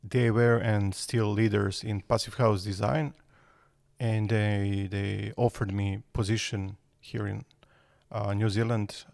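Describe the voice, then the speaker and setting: A man's voice: lowish voice, creaky, darkish tone, no echo, clean studio sound. A man, answering podcast interview questions hesitantly with many fillers. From Serbia. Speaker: guest architect.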